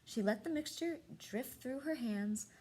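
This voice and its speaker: A woman reading a storybook aloud expressively, with dramatic pauses and hushed emphasis. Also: high voice, expressive, slight background noise. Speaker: storybook reader.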